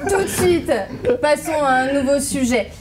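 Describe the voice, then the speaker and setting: A young woman hosting a studio celebrity panel, lively and steering the talk to the next topic. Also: high voice, normal speed, background noise. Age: young adult. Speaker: panel host. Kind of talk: hosting a studio chat show.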